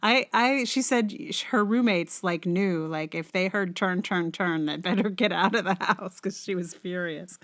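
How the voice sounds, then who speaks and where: A woman podcast host chatting casually in a rambling, conversational way. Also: fairly low pitch.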